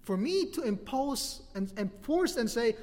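A middle-aged man with a Filipino accent preaching a sermon as pastor, animated and laughing often between points. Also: extremely high pitch, measured pace. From Philippines. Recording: long hall reverb.

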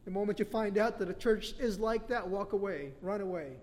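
A Filipino-accented man in his middle years preaches from the pulpit, his voice sitting high for a man. He talks animatedly and laughs often between points. His words ring out with a long reverb from the church hall. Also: brisk, darkish tone. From Philippines. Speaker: pastor. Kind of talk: sermon.

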